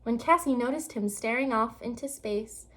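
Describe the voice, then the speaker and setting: High-voiced woman reading a children's storybook. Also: moderate pace. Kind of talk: expressive read-aloud storytelling.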